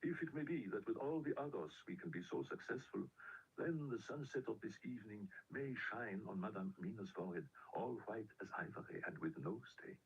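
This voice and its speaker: A man voicing a solemn, fatherly older professor in an audiobook, devout, measured and tender. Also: lowish voice, lively intonation, pitched below the performer's own voice. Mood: solemn tenderness. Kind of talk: audiobook character voice. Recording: dull lo-fi, clearly noisy audio.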